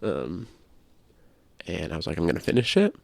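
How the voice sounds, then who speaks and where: A man with a creaky voice co-hosting a casual video game podcast, chatting conversationally. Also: wildly swooping pitch, moderate pace, no room sound. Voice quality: low creaky voice. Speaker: podcast co-host. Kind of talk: casual podcast chat about video games.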